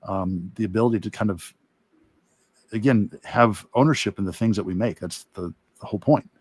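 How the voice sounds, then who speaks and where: A man giving a casual, reflective demo talk as an art instructor while drawing, with halting, rambling explanations. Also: lowish voice, darkish tone, breathy edge, no room sound. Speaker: illustrator and course instructor demonstrating.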